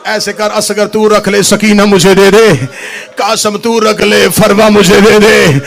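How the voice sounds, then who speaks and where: A devoted, grieving sister pleads through sobs and breaks into sung cries, as a high-voiced man recites her lines. She is resolute beneath the weeping, her words drawn out slowly. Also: level tone, creaky, fairly bright tone, a faint crowd, full character voice. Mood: wailing. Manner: sobbing, pleading, cries out in song.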